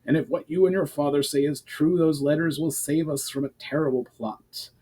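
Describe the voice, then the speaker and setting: A man's voice: a little high, normal speed, mellow, husky, pitched above the performer's own voice. A man voicing a stern, authoritative knight commander with formal speech in a fantasy audiobook. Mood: reassuring. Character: stern, commanding male knight commander, protective of his city.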